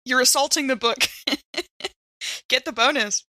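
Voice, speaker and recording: A woman playing a tabletop game, bantering casually and with excitement. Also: high-pitched, a little slow, no echo, studio quality.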